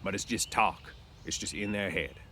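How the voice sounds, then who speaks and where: A man's voice: deep voice, moderate pace, pushed and bright, a little hiss or hum. A man voicing a lonely, bitter, scornful stable hand in a storybook, with folksy, ungrammatical ranch speech.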